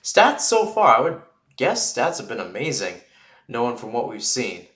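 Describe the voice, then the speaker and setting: A man's voice: mid-range voice, wildly swooping pitch, normal speed, a bit bright, roomy sound. A man calling live American football play-by-play for radio, chatting through asides and swelling on big plays.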